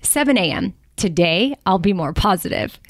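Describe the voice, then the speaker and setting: A woman in her forties hosting a podcast, chatting casually, laughing often and slowing down when she reads something aloud. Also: medium pitch, lively intonation, dry recording, clean studio sound. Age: 40s. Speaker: podcast host.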